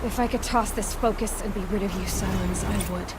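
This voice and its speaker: An actress playing a defiant young huntress in a video game, with a wry, determined delivery. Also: female voice, medium pitch, fairly even intonation, brisk, heavy background noise, close to an everyday voice. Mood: bitter, resolved. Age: young adult. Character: defiant, sardonic young female hunter heroine. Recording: loud music behind the voice.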